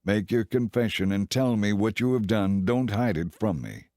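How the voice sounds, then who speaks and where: A man's voice: on the low side, lively intonation, normal speed, a little mellow, no room sound, natural voice. A man playing a stern leader of the Israelites in a dramatized scripture audiobook, grave and demanding. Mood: grave, urging.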